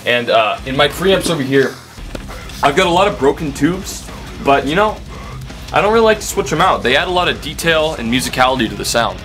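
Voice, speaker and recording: A man's voice: fairly high pitch, big pitch swings, fairly quick, a bit bright, faint music behind. A young man playing a recording engineer, giving absurd mixing advice in a confident, matter-of-fact way. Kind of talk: deadpan parody advice.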